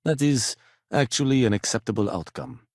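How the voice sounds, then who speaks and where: A man's voice: medium pitch, moderate pace, a little mellow, no echo, close to an everyday voice. A man voicing a fussy, punctual corporate office worker in a video game, speaking precisely and formally with dry condescension. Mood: dry disdain.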